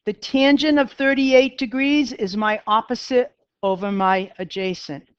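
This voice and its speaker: Woman, Boston accent, middle-aged, math teacher, chatty classroom lecture, smooth clear voice, dry dull recording. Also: on the high side, unhurried, no room sound. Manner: chatty, self-deprecating, talks to students.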